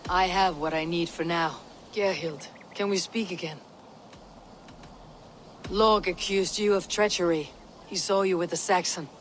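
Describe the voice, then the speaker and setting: A woman's voice: lowish voice, steady tone, a little slow, pauses often, slight background noise, close to an everyday voice. A woman playing a stern, blunt Viking warrior in a video game, questioning in a terse, commanding way. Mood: accusing. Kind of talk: video game cutscene acting.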